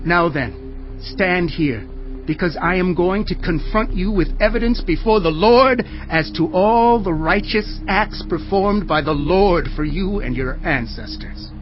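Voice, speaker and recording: An actor playing an old gray prophet, solemn and emphatic, declaiming in a dramatized audio Bible. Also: male voice, sits high, a little slow, highs cut off, soft background music. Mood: stern, admonishing. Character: old gray prophet, stern, solemn leader addressing his people.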